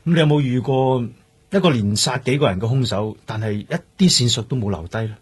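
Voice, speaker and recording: Husky, brooding Hong Kong detective. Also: male voice, mid-range voice, brisk. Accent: Hong Kong Cantonese. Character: brooding, driven police detective haunted by an uncaught killer. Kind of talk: radio drama acting.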